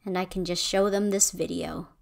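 A woman's voice: on the low side, fairly even intonation, normal speed, gravelly. Young woman from Indonesia, video essay voice-over, conversational and opinionated. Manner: conversational, opinionated, a bit wry. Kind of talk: scripted commentary voice-over.

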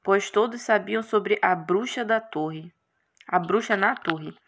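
Slow-paced Brazilian woman hosting a let's play. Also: mid-range voice, fairly bright tone. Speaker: gaming channel host. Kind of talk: casual let's play, reading story aloud.

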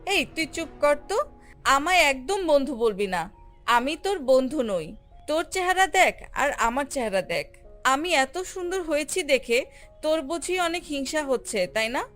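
A woman voicing a vain, haughty, quick-tempered female ripe mango in a children's cartoon, boasting and scolding. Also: high-pitched, very animated, a bit bright, heavily acted. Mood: angry, scolding. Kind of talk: animated children's fairy tale acting. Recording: music under the voice.

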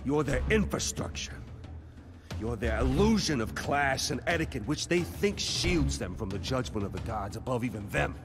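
An actor playing a bitter, vengeful crime lord and grieving father in a video game, gravelly, brooding and menacing. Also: medium pitch, big pitch swings, normal speed, close to an everyday voice. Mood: furious. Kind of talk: dramatic video game acting. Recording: music behind the voice.

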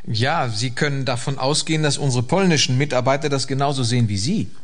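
A man's voice: medium pitch, fast, close to an everyday voice. An actor playing a confident fixer reporting to his boss in a radio play, assured and matter-of-fact. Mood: confident, formal. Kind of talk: German radio play acting.

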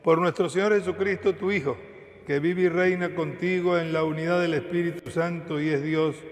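An older man presiding at a church service, leading the liturgy in a solemn, measured intoning voice. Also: fairly high pitch, fairly even intonation. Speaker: presiding priest. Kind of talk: liturgical prayer and recitation. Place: large ornate church. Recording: echoey church with long reverb.

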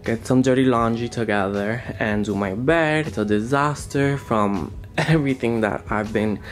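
A man's voice: medium pitch, moderate pace. A young man vlogging casually to a handheld camera around his home, chatty and flamboyant with slang. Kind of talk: casual vlog chat to camera. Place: home rooms and parked car. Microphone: handheld camera mic. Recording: echoey rooms with music underneath.

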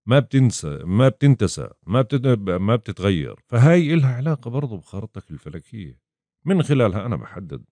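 A man's voice: fairly low pitch, big pitch swings, fast, warm tone, no echo. A man gives a casual astrology lesson, acting out his clients' questions in quoted voices. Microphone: voice-over over chart screen.

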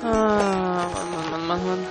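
Grumbling gamer with a cold. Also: male voice, high-pitched, fairly even intonation, takes it slowly. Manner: grumbling, exasperated. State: has a cold. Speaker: let's play host. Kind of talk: casual gameplay commentary. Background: game music.

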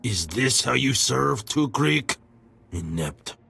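An actor playing an imperious, contemptuous crime boss in a video game, with a disdainful manner. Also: male voice, mid-range voice, sing-song, creaky, sharp tone, a little hiss or hum, full character voice. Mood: irritated contempt. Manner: slow, disdainful.

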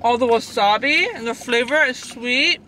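A woman's voice: a little high, moderate pace, far from the mic, faint music behind, low-quality recording. A woman in her thirties, a vlogger chatting casually while tasting snacks in a parked car, giggly and reacting as she eats. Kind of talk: casual car mukbang chat. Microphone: camera on dashboard. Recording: loud car background noise.

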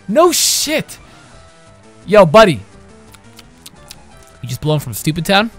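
A young man giving casual, profane let's-play commentary, trash-talking the characters and breaking into sudden loud yells. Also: high voice, wildly swooping pitch, slow pace. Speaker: gaming channel host. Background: game music.

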